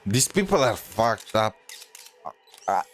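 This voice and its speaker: A man's voice: lowish voice, big pitch swings, takes it slowly, soft background music. A man giving casual live game commentary as a streamer, blunt and rambling.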